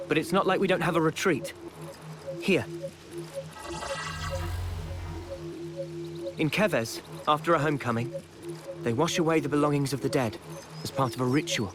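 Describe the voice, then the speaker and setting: A man voicing a calm, earnest young male soldier leader in a video game, explaining things in a measured way. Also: medium pitch, lightly acted. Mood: steady, resolved. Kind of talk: video game cutscene dialogue.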